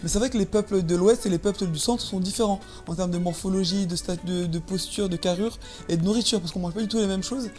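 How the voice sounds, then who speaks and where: Halting young woman being interviewed, medium pitch. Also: mellow, soft background music. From Paris, France. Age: about 30. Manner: halting, repeats words as she searches for phrasing. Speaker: interview guest. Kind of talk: casual interview conversation.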